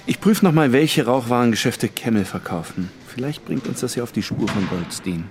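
A man's voice: medium pitch, animated, fairly quick, a little hiss or hum, natural voice. Police colleague male actor: cool, courteous, probing, slight ambience behind. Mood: polite, cool. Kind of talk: naturalistic radio drama acting.

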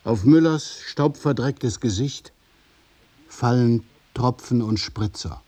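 A man narrating a scripted radio drama in the present tense, with deliberate, measured phrasing. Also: mid-range voice, warm tone, slightly rough.

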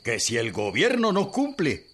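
An actor playing an evasive, ingratiating older government envoy in a radio drama, with a Mexican accent and a hesitant, placating manner. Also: male voice, medium pitch, huge pitch swings, measured pace, fairly bright tone. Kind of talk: Spanish-language radio drama acting.

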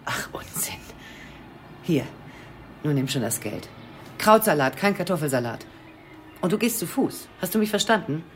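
A woman's voice: fairly low pitch, animated, moderate pace, pauses often, a little hiss or hum, close to an everyday voice. An actress playing an anxious, evasive middle-aged mother in a radio crime drama, with a natural, nervy, placating manner. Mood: evasive, tense. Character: anxious, evasive small-town mother and cat owner.

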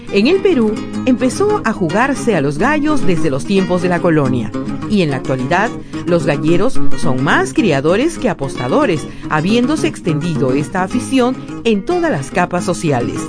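A woman reading scripted documentary narration as a radio narrator. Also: fairly low pitch. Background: guitar music.

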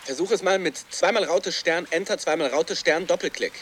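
A man's voice: high voice, fairly quick, a bit bright, a little hiss or hum. An actor playing a desperate man trapped inside a computer game, pleading urgently in a radio drama.

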